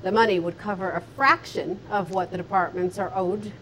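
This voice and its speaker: A woman's voice: medium pitch, steady tone, normal speed, fairly bright tone, a little hiss or hum, rough recording. A woman co-anchoring a local television newscast, reading scripted stories. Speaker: news anchor.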